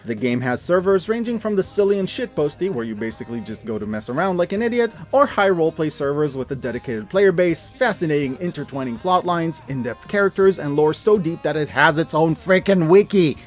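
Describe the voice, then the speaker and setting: A man's voice: a little high, lively intonation, fairly quick, hardly any pauses, faint music behind. A man hosting a review video, narrating scripted recommendations in a casual, enthusiastic, emphatic way with mild swearing.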